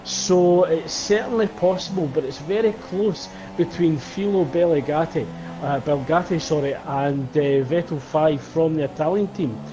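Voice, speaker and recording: A man giving play-by-play commentary on a sim race, calling lap times in a steady, measured way. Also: fairly high pitch, muffled recording, music in the background. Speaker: lead commentator.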